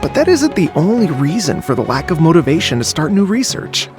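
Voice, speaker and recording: A man's voice: a little high, big pitch swings, a little fast, music in the background. A man voicing an easygoing young male researcher in a game, chatty but hesitant and stammering. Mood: explaining. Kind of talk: game voice acting.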